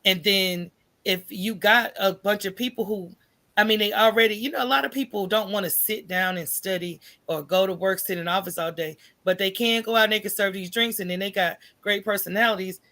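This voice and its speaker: An American woman with a medium-pitched voice talks casually as an interview guest. Her phrasing is colloquial and conversational, and she often tags her points with small check-ins to the listener. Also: fairly quick, pushed and bright. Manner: informal, colloquial, checks in with "right?" and "you know". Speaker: podcast guest, coffee business owner.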